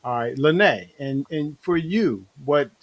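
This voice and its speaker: A man hosting a casual panel chat, playful and quick to laugh, often restarting his sentences. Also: fairly high pitch, expressive. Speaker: host.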